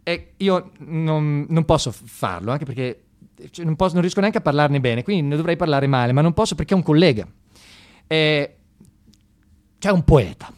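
A man doing a comic theatrical monologue in a conversational, halting and mock-serious way, often repeating himself. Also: a little high, animated. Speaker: satirical stage monologist on radio.

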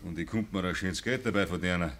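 An actor playing a plain-spoken, hesitant farmhand in a radio drama, deferential and speaking Bavarian dialect. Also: male voice, sits low, quick pace, fairly bright tone. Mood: evasive.

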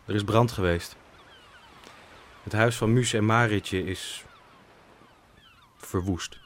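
An actor playing a calm, kindly older villager who speaks steadily and comfortingly. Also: male voice, fairly low pitch, slow pace, a little hiss or hum.

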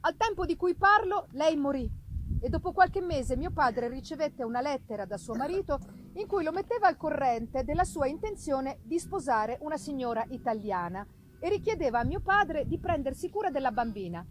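A woman voicing a sorrowful ruined gentleman who tells his life story in a grave, formal, deliberate way, in a dramatic novel reading. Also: sits high, lively intonation, low-quality recording. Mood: formal, tender recollection. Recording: noticeable background noise, live performance reading.

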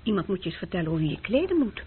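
A woman's voice: medium pitch, big pitch swings, very fast, breathy edge. An actress playing an educated, frank young woman who gives advice in a radio play. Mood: frank, soft. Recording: dull, muffled old radio recording.

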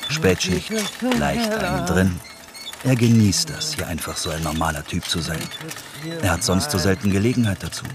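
A man's voice: sits low, very animated, normal speed, crowd noise. A man narrating a scripted radio documentary in short, present-tense scenic sentences. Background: loud music.

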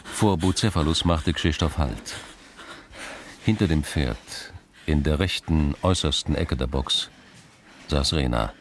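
A man narrating a radio crime drama as a sober, grave storyteller in a measured scripted style. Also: very deep voice, animated, slight background noise.

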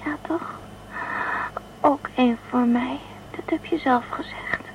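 Woman as a fragile, high-voiced feverish girl. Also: steady tone, takes it slowly, in a whisper. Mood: delirious, wistful. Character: feverish, frightened, childlike young female space traveller. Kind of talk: radio drama acting. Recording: tinny phone-like band, faint noise.

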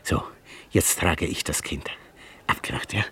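An actor playing a poor, tired, kindly old porter in a 1950s German radio drama, halting and deferential as he rambles. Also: extremely low pitch, a bit bright, husky, full character voice. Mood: kindly, insistent. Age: older.

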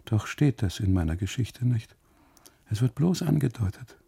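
An actor playing an uneasy husband on holiday in a literary radio monologue, narrating inwardly and haltingly in a gravelly, husky voice. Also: male voice, mid-range voice, lively intonation, warm tone, exaggerated voice. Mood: tense, brooding. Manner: halting, brooding, deliberate phrasing with repetitions and dramatic pauses. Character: uneasy German husband on holiday, obsessively narrating his story.

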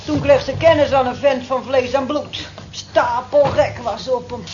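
A woman's voice: sits high, normal speed, darkish tone, faint music behind, lightly acted. An actress in an old radio play voicing a worldly-wise, teasing cleaning woman with a dry, scoffing, gossipy manner. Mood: cynical, gossipy. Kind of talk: vintage radio play acting. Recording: dull, hissy old radio play recording.

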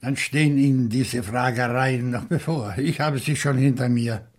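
An actor playing a grave, probing newcomer under suspicion in a radio crime play, speaking deliberately and with formal politeness. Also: male voice, medium pitch, steady tone, close to an everyday voice. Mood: grave, insistent.

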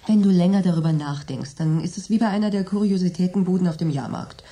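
A woman's voice: lowish voice, fast talker, warm tone, natural voice. An actress in a German radio drama playing a stubborn sceptic, a woman who reasons in a composed, dry way. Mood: composed, sceptical. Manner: composed, reasoning, slightly sardonic.

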